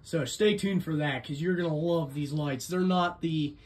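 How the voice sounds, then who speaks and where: High-voiced man chatting casually. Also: moderate pace, a little mellow, breathy edge. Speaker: vlogger, ceiling fan collector. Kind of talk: casual unscripted show-and-tell video narration.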